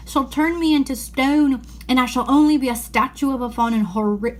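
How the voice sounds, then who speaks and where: An anxious, gentle faun, played by a woman reading a storybook, pitched high. He pleads and confesses dramatically, full of remorse.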